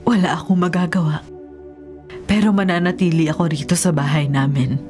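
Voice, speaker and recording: A woman's voice: lowish voice, steady tone, fairly quick, darkish tone, faint music behind, natural voice. An actress playing a remorseful, devout middle-aged mother with a Filipino accent, earnest and solemn, in a radio drama. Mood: resolute.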